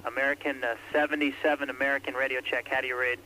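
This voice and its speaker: A man's voice: mid-range voice, level tone, fast talker, bright, forceful tone, low-quality recording. A man working as an air traffic controller, calling aircraft in terse, clipped radio phrasing. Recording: archival radio recording with faint hiss.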